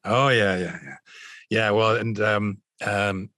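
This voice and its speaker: A man's voice: fairly low pitch, fairly even intonation, slow pace, sharp tone, dry recording, studio quality. A middle-aged man with a mid-Atlantic American accent, chatting as a podcast guest, rambling with fillers and restarts. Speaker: voice actor guest. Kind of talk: casual podcast chat.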